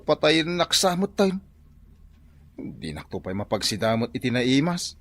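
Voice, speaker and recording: A man's voice: medium pitch, sing-song, normal speed, fairly bright tone. A man with an Ilocano accent playing a whiny, henpecked working husband in a comedy radio drama, exclaiming plaintively. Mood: whiny complaint. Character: whiny henpecked husband, construction worker, comic complainer.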